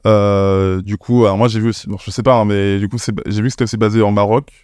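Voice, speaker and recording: A man's voice: fairly low pitch, fairly even intonation, quick pace, a little mellow, clear, resonant tone, dry recording, clean studio sound. A man hosting a casual interview, chatty and teasing as he asks questions. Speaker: podcast host.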